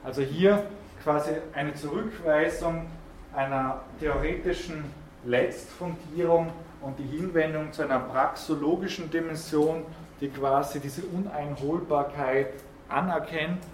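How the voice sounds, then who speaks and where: Hesitant lecturer in an echoey hall. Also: male voice, fairly high pitch, unhurried. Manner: thinking aloud, frequent hesitations and repeated words. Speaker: philosophy lecturer. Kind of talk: university lecture. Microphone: student's recorder in the room. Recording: echoey lecture hall recording with faint noise.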